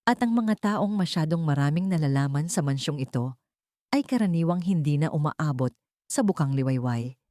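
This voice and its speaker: A woman's voice: low-pitched, animated, moderate pace, mellow, no room sound, studio quality. A woman voicing a cruel, scornful older aunt who gives commands in an audio story. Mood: quiet menace. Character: cruel, bitter, menacing older aunt of a rich household.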